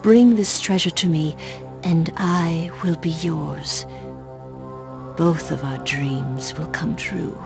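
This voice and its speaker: An actress playing a seductive, scheming woman in a video game, with a slow, controlled, theatrical delivery. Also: low-pitched, gravelly, full character voice. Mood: seductive, commanding. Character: seductive, scheming woman, later ghastly and ravaged. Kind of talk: video game cutscene acting. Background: music.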